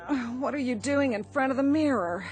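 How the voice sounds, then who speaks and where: An actress playing a brassy, bored American wife in a radio drama, sarcastic, flippant and dismissive. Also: female voice, high voice, brisk, soft background music, close to an everyday voice. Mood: sleepy, annoyed.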